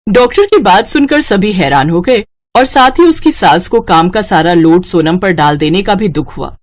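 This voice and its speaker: A woman's voice: lowish voice, lively intonation, normal speed. A woman narrating a scripted moral family story.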